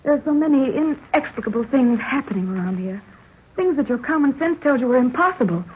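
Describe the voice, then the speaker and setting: A woman acting a high-strung young professor's daughter, theatrical and anxious, in an old-time radio melodrama. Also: expressive, fairly quick, slightly rough. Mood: uneasy. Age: young adult. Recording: muffled, hissy old radio recording.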